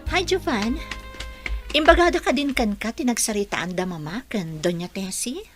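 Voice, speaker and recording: An actress playing an eager young bride-to-be with an animated, questioning manner in a radio drama. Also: female voice, a little high, normal speed, faint music behind, lightly acted. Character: young bride-to-be, eager, sweet on her fiancé.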